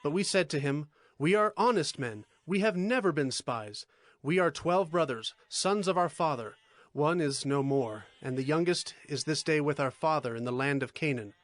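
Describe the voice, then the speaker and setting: An actor playing an earnest, anxious brother and humble petitioner in a dramatized scripture recording, speaking in a pleading way. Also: male voice, a little high, expressive, moderate pace, close to an everyday voice. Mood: anxious, reporting. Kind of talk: dramatized scripture audio acting.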